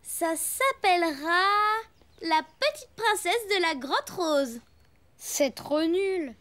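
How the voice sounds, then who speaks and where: A woman voicing an excitable, cheeky elephant child in a French cartoon dub. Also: very high-pitched, takes it slowly, exaggerated voice. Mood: excited.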